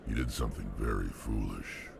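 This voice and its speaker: Huge scary alien merc from a game, super deep gravelly voice, blunt. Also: male voice, full character voice. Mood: guarded, grim. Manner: terse, threatening, deliberate. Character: huge menacing alien mercenary, blunt and unafraid. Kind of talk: video game character acting. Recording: noisy game ambience.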